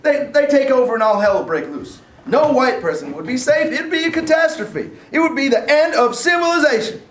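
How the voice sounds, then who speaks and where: Man playing excitable high-voiced alarmist official. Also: fairly quick. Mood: alarmed. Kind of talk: staged play reading. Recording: roomy, echoey live stage recording.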